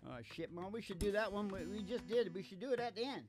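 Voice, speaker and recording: A man's voice: high voice, fast talker. An older man hosting with casual, joking banter in a rambling manner. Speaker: podcast host and singing musician. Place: small curtained studio stage. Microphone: stand microphone. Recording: live with loud acoustic guitar. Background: acoustic guitar.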